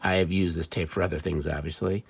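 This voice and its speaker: A man giving a casual, off-the-cuff gadget demo, wry and excitable, talking to himself as he tinkers. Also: sits low, fast talker, gravelly, very noisy. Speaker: vintage electronics seller demonstrating a device. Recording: music playing behind the voice. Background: music from the player being tested.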